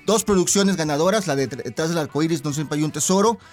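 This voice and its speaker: Guy, guest director on the show, kinda high voice, formal and careful, music faint. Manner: formal, measured, with hesitations. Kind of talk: studio interview.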